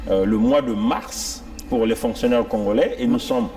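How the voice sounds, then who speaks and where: A man's voice: mid-range voice, normal speed, dark tone. A man speaking as a political guest in a TV interview, grave and hesitant as he lists grievances. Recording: distant mic with faint music.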